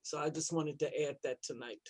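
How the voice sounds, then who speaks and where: A woman's voice: deep voice, steady tone, fairly quick, noisy background. A plain-spoken woman advocate giving informal remarks at an online panel, repeating points for emphasis. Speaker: support group advocate, panelist.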